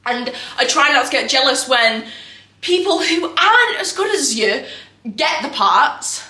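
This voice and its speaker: A woman's voice: high-pitched, big pitch swings, measured pace, pushed and bright, full character voice. A woman performing a theatrical monologue as a restless, self-doubting young actress, raw and defensive. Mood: earnest, wistful. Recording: echoey room recording.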